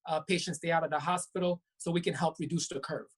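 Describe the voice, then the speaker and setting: A woman's voice: low-pitched, steady tone, fast talker. A woman physician from Brooklyn, New York, answering interview questions in an earnest, explanatory way with frequent restarts. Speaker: physician guest. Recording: web talk show.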